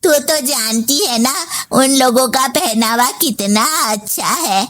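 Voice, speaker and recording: Slow, scolding older mother-in-law acted by a woman. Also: on the high side, a bit bright. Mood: aggrieved. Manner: scolding, complaining, drawn-out. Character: domineering, demanding older mother-in-law, sharp-tongued and partial. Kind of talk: story voice acting.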